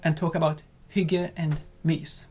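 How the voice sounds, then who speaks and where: A man co-hosting a lifestyle vlog, speaking calmly and unhurriedly. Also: fairly high pitch, a little slow, music in the background. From Sweden. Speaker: vlog co-host. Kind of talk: casual chat and sponsor read.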